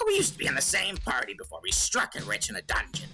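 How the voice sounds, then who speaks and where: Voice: mid-range voice, wildly swooping pitch, fast talker, sharp tone, faint music behind, full character voice. An actor voicing a sly, crude male goblin who hosts a comedic radio call-in show, smirking and rambling. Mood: boastful storytelling. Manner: smirking, self-justifying, rambling with drawn hesitations. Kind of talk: comedic in-character monologue and banter.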